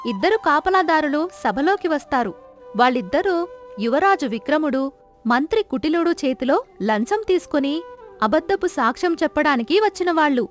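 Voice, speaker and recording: A woman's voice: high-pitched, animated, normal speed, soft background music. A woman giving scripted narration of a story, as the narrator between acted scenes.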